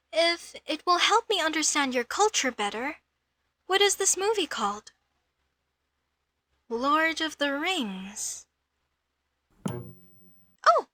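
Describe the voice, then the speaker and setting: A young elvish mage voiced by a woman speaks in a high, swooping voice at an unhurried pace. She is outspoken and curious, questioning everything and quick to defend herself proudly, in the style of a comedic audio roleplay. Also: pushed and bright, no echo, heavily acted.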